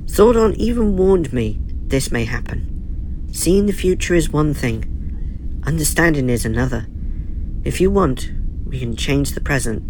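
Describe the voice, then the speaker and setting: A man voicing a solemn male ally who sees the future, speaking in an earnest, measured way. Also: fairly high pitch, animated, a little mellow, slight background noise, pitched above the performer's own voice, poor audio. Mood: regretful.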